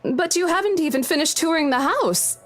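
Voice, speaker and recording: A woman's voice: very high voice, lively intonation, brisk, faint music behind. A woman playing a polite, placating estate agent in a visual novel game, apologetic and professional in manner.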